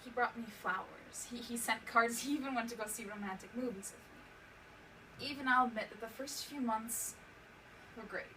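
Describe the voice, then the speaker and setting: Woman acting haunted young female survivor, high-pitched, distant mic. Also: bright, forceful tone. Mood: wistful, subdued. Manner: halting, emotional, repeats words for emphasis. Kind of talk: dramatic monologue, stage acting. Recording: distant mic, clearly noisy room recording.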